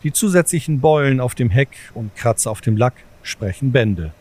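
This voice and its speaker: A man reads scripted narration for an audio drama. His voice is mid-range. He tells the story in a measured, deliberate way. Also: medium pitch, expressive, darkish tone.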